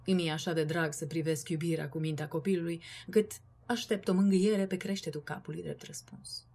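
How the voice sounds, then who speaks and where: A woman with a breathy, husky voice giving an expressive recitation of poetry. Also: low voice. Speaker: poetry reader.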